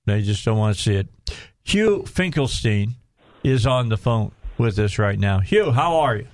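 A man's voice: fairly low pitch, expressive, moderate pace, a little mellow. An older man hosting a radio talk show from his studio, joking and chatting in a folksy way with his guest. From Arkansas. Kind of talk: casual radio interview.

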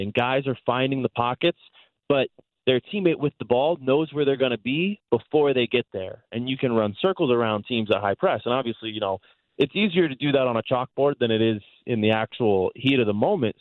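A man talks sports in an articulate, conversational way, unspooling long explanations like a practiced announcer. His pitch is mid-range and the sound is very dry, with hardly any room to it. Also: no echo, narrow, tinny audio. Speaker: sports play-by-play announcer, guest analyst. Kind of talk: conversational soccer analysis on a sports talk show.

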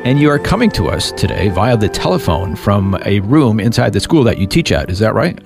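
A man's voice: fairly low pitch, sing-song, brisk, vocal fry, music in the background. A man hosting a radio interview, asking guiding questions and giving short affirmations. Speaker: radio show host.

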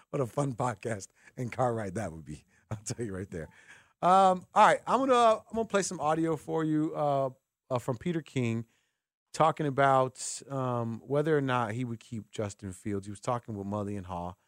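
Man, sports talk host, medium pitch, casual, animated storyteller, dry close studio mic. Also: dry recording. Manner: animated storytelling with acted-out dialogue. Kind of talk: casual chatty radio talk. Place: radio studio.

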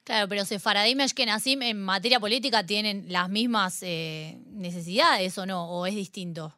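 Bright-voiced woman interviewer, dry sound. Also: medium pitch, moderate pace, dry recording. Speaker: radio interviewer. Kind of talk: conversational interview questions.

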